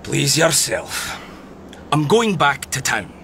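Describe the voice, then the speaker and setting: Pushy, bright-voiced newspaper reporter. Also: male voice, mid-range voice, huge pitch swings, slow pace, a little hiss or hum, full character voice. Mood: needling, amused. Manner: insinuating, chuckling, probing. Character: pushy, wry, needling 1920s newspaper reporter. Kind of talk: radio drama acting.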